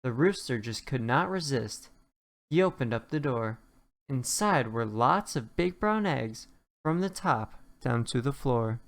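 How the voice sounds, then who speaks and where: A narrator reading a rhyming children's storybook aloud in an expressive storytelling way. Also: mid-range voice, a little slow. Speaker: children's book reader.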